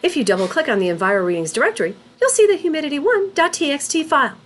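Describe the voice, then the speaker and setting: A woman narrating a scripted tutorial as an instructor, in a deliberate, instructional manner. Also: high voice, lively intonation, slight background noise. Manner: deliberate, instructional, spells out terms letter by letter. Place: voice-over for a screen recording.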